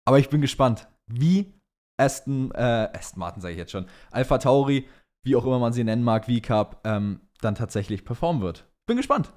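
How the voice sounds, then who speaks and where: Young guy podcast cohost, casual and slangy, throws in english words, medium pitch. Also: expressive, moderate pace, a little mellow. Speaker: podcast co-host.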